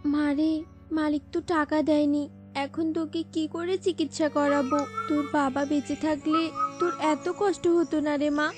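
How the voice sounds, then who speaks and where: A woman's voice: high-pitched, flat delivery, normal speed, over music, close to an everyday voice. A woman voicing a poor, tender, worried mother bird in a children's cartoon.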